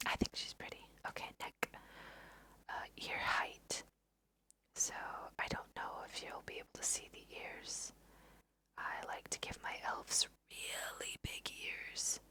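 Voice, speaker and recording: A woman whispering chatty ASMR game commentary, thinking aloud in a breathy, self-amused way into a close microphone. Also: measured pace, bright, forceful tone. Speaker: host of a gaming let's play.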